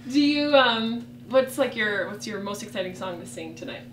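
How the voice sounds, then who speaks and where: A woman's voice: mid-range voice, animated, normal speed, a little hiss or hum. A woman interviewing a musician in a casual way, asking questions and laughing often. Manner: giggly, friendly, laughs often. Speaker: interviewer, newspaper editor. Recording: echoey room, distant mic.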